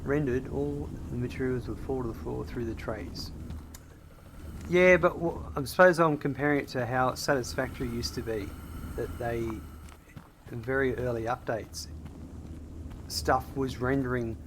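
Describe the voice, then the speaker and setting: An Australian man talks casually through a factory-building game, musing out loud and replying to his chat. His voice sits at an ordinary middle pitch. A steady hiss of noise sits under the recording. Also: moderate pace. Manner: thinking aloud, reading and answering chat. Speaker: streamer. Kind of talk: casual gameplay commentary.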